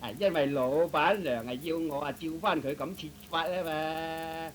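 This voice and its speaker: A man playing a slow-witted, literal-minded young shop servant in a Cantonese comic radio play, hesitant and earnest. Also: a little high, lightly acted. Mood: flustered, obedient. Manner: dim, hesitant, pedantically literal. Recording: noisy old radio recording.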